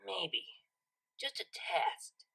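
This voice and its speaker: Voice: extremely slow, sharp tone, low-quality recording. A narrator voicing a brash, impulsive young female unicorn DJ in an audiobook. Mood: musing.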